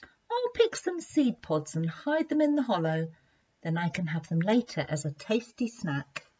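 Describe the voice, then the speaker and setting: Woman voicing a cheeky, scheming storybook animal, slightly husky. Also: fairly low pitch, very animated, unhurried, warm tone, exaggerated voice. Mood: pleased, scheming. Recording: dull lo-fi sound.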